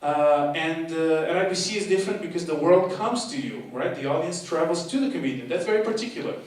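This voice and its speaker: A man's voice: on the high side, expressive, fairly quick. A young man doing a chatty, playful stand-up set, joking with the audience and mocking himself. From half Portuguese, half Argentinian. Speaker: stand-up comedian and course leader. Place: live comedy show in a hall. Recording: echoey hall from far off, noisy. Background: audience laughter.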